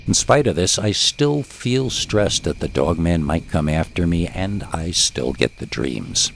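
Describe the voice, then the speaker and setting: A man narrates horror stories with a low, gravelly voice. His pitch swings widely for dramatic effect, and noise with ambience runs behind him. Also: expressive, a little slow. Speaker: host and narrator of scary stories.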